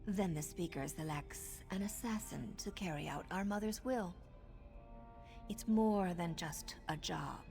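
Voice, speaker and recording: An actress voicing a flirtatious, wicked noblewoman assassin in a video game, with a teasing, breathy manner. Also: on the low side, measured pace, a little mellow. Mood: solemn, reverent. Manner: teasing, coquettish, breathy asides. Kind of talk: video game character acting. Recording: background music under the voice.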